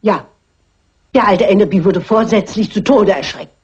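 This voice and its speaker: A woman's voice: medium pitch, normal speed, a little mellow, breathy edge. An actress playing a shrewd, self-assured elderly lady detective in a dubbed classic crime film, speaking precisely. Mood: resolute. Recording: dull vintage film soundtrack.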